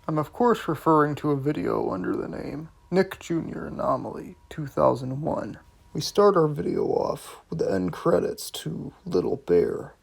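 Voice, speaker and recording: A man's voice: on the high side, vocal fry, a little mellow, slightly rough. A man hosting a video review, reading scripted commentary with some casual, profane asides.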